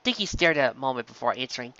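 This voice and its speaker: A narrator reading a scripted story aloud in an expressive, dramatic way. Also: mid-range voice, very fast, highs cut off. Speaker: fan fiction narrator. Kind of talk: scripted story narration with acted character voices.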